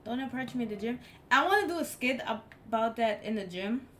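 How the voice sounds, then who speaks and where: A woman's voice: on the high side, animated, brisk, fairly bright tone, a little hiss or hum. A woman chatting casually on a live stream, speaking English as her third language and stressing her points by repeating them. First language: not English. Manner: emphatic, repeats herself for effect. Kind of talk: casual chat.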